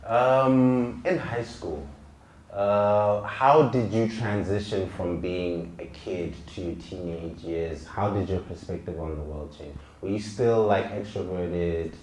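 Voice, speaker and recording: Man, podcast host, relaxed chatty questions, deep voice, faint noise. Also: takes it slowly. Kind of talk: casual interview. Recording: echoey room with faint noise.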